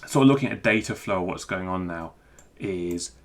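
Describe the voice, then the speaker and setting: A man giving an informal technical screencast walkthrough, thinking aloud in a halting, self-interrupting way. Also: low-pitched. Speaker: presenter explaining technical material.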